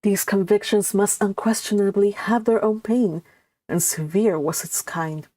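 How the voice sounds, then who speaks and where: A woman hosting a live read-along, reading a classic novel aloud and adding chatty, expressive asides. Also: medium pitch, unhurried, a little hiss or hum.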